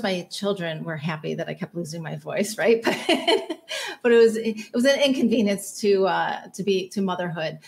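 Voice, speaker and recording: A middle-aged woman telling her story as a podcast guest, chatty and quick to laugh. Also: fairly low pitch, creaky. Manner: chatty, laughs often, repeats words when starting. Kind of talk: casual interview storytelling.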